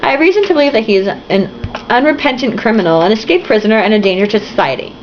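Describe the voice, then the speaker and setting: A woman's voice: medium pitch, expressive, quick pace, a little hiss or hum, natural voice. A woman voicing a sinister man hunting a runaway boy, cold and formal, in a storybook reading on a slightly muffled home recording. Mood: menacing.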